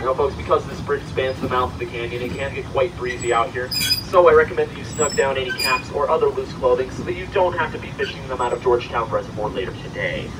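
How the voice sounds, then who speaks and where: A man giving folksy, rehearsed tour commentary about the railway over a train loudspeaker. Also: medium pitch, fast talker, few pauses, very noisy. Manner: folksy, rehearsed announcer patter. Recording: distant PA speaker with loud music.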